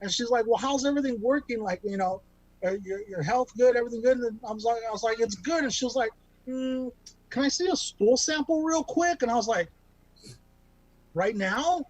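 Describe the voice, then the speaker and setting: A man with a high-pitched voice tells self-deprecating stand-up bits over a video call. He rambles, restarts, and fills gaps with ums. The sound is dry and close, with no room around it. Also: fairly quick, no echo, low-quality recording. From Bakersfield, California. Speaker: stand-up comic.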